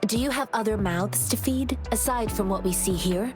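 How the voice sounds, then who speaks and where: Serene principled young wanderer voiced by a woman, measured delivery, mid pitch, music underneath. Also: natural voice. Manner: serene, measured, speaks in proverbs. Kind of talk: video game voice acting.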